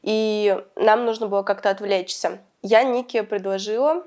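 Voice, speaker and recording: A woman's voice: mid-range voice, moderate pace, darkish tone. A woman co-hosting a podcast in casual chat, sounding congested and nasal from a cold, chatty and laughing often. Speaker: podcast co-host.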